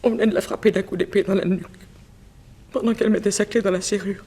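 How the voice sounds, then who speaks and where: A woman's voice: mid-range voice, steady tone, fast, mellow, slightly rough. An actress playing a grieving middle-aged mother, a former seamstress, in a plaintive, dramatic 1960s French radio mystery style. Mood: anguished.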